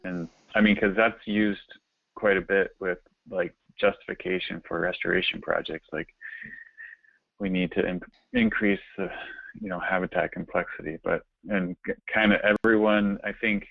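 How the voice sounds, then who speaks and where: A man in a casual work conversation over a phone line, speaking in halting, hesitant phrases with repeated starts. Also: low-pitched, lively intonation, creaky, dry recording. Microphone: phone call. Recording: thin, narrow-band phone line.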